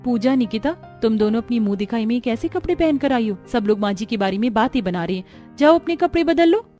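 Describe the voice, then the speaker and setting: Woman acting traditional young village sister-in-law, high voice, admonishing, cartoon family drama, faint music. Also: expressive, brisk, mellow, lightly acted.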